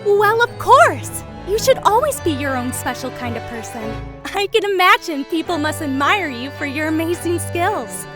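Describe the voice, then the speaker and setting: A woman voicing a bubbly, sweet, excitable young girl in a fan audio drama. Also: very high voice, normal speed, music in the background. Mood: cheerful, admiring. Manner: gushing, excitable, exclamatory. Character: bubbly, sweet, energetic young girl who loves colors.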